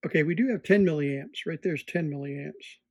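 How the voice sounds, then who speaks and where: A man at an indoor workbench gives an unscripted, hands-on repair demonstration, thinking aloud in a halting way as he works. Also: fairly high pitch, a little mellow, dry recording, studio quality. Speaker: electronics repair hobbyist presenting.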